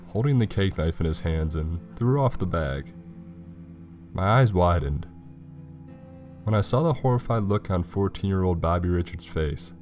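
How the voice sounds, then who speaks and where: Male, deep voice, scripted horror narration, faint music bed. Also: darkish tone. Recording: music behind the voice. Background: background music.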